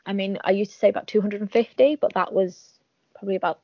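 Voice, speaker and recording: Gravelly Northern English woman. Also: mid-range voice, steady tone, quick pace, dry recording, highs cut off. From Northern England. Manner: chatty, wry, laughs at herself. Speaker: podcast guest. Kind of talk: casual interview chat.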